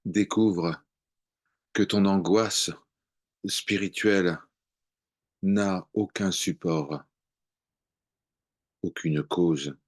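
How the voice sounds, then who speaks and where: Very slow, deep-voiced man reciting devotional poetry. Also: fairly even intonation. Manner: measured, contemplative, with long pauses between short phrases.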